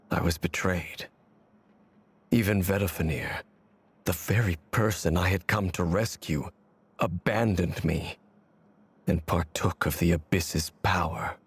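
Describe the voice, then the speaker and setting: An actor playing a grave, haunted ancient wanderer in a game, speaking in a measured, formal way. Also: male voice, sits low, breathy edge, exaggerated voice. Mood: bitter, haunted. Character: brooding ancient male wanderer haunted by betrayal. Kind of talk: dramatic game voice acting.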